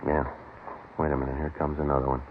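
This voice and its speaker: A man's voice: extremely low pitch, level tone, quick pace, slight background noise. An actor playing a terse police detective sergeant, narrating his case in a clipped, matter-of-fact way in a radio drama. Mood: low-key. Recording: muffled old 1950 radio recording.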